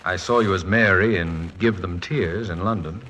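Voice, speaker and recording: An American actor playing a witty, proud, fretful middle-aged college president and husband in a wry, articulate 1940s radio comedy-drama style. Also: male voice, low voice, normal speed, a bit bright. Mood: fond. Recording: noisy 1940s radio recording.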